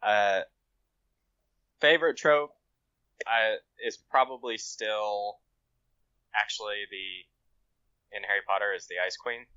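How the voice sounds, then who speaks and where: Man for casual podcast chat, bright tense tone, offhand, close dry dull audio. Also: fairly low pitch, steady tone, slow, long pauses, clean tone, dry recording. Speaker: podcast guest, fan fiction author.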